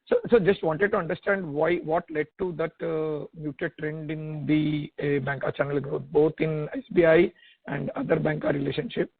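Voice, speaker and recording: A man with an Indian accent asking questions as an analyst on a conference call, halting and repeating his words over a phone line. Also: a little high, little pitch movement, vocal fry, husky. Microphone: telephone conference call. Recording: tinny narrowband phone line.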